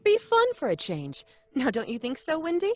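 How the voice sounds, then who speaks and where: An actress playing a wife and mother who speaks gently and coaxingly in a live audio drama. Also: high-pitched, wildly swooping pitch, moderate pace.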